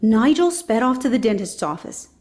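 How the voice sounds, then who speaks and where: Lady reading a kids picture book out loud, voice a bit on the high side. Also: big pitch swings, normal speed. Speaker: children's storybook reader. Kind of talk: scripted storybook narration read aloud for children.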